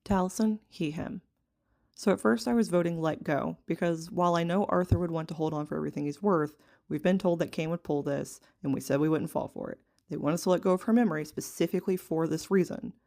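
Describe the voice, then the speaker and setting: Listener voice message, gravelly fry, mid pitch, scripted argument delivery. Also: a little mellow. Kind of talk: prepared argument read aloud.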